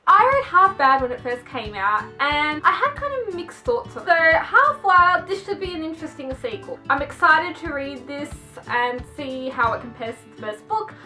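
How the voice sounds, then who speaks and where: A woman's voice: sits high, expressive, normal speed, few pauses, sharp tone, faint music behind. A young adult woman chatting casually as a book vlogger, reading blurbs aloud with gushing exclamations and chatty asides. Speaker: book vlogger presenting a haul.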